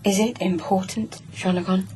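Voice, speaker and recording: A woman's voice: medium pitch, steady tone, normal speed, warm tone, slight background noise, natural voice. An actress playing a troubled, guarded noblewoman in a radio drama, speaking hesitantly and in a subdued way. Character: troubled royal-blooded lady, guarded, fragile.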